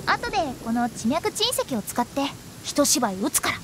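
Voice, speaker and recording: A woman's voice: high voice, sing-song, moderate pace, background noise. A woman playing a cheeky, theatrical young woman who loves pranks, in anime game style. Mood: confident. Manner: playful, theatrical, teasing.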